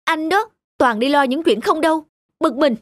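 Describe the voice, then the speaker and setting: A woman's voice: high voice, animated, moderate pace, no room sound. A woman voicing a thrifty, sarcastic housewife who complains sharply in a cartoon. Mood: grumpy.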